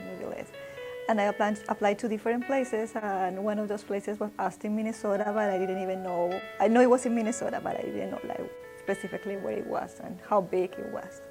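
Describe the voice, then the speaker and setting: A woman from Colombia who teaches Spanish, chatting with filler words. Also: medium pitch. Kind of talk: personal interview testimony. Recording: music bed under the voice.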